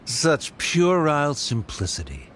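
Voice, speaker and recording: Haughty, swooping game villain. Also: male voice, a little high, wildly swooping pitch, measured pace, a bit bright, a little hiss or hum, heavily acted. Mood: disdainful, relieved. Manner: pompous, formal. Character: haughty, disdainful male villain, coldly condescending. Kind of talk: video game story dialogue.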